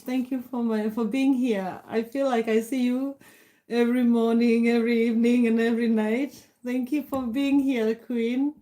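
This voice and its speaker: Brief: middle-aged Kenyan-accented woman, Kikuyu native, livestream host; warm, grateful chat; mellow tone. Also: a little high. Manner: warm, grateful, greets viewers by name. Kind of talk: casual live chat reading comments.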